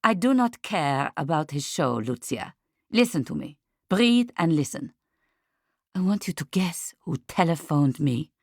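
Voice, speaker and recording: A woman voicing a commanding, excitable female art agent in an audiobook, with emphatic, slightly stilted phrasing. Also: low-pitched, measured pace, no echo, studio quality, exaggerated voice. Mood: firm, insistent. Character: diplomatic, bossy, excitable French female art agent, exultant and insistent.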